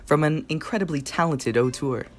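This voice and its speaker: An actress playing a jokey co-host in scripted comic radio hosting. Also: female voice, sits low, moderate pace, faint music behind.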